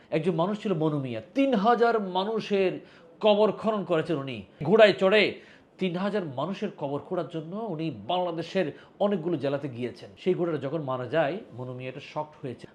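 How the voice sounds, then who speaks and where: Man, Bangladeshi accent, slightly high pitch, solemn, emphatic, rhetorical repetition, heartfelt address to viewers. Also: darkish tone. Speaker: social volunteer addressing video viewers. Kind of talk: heartfelt spoken tribute and appeal to viewers.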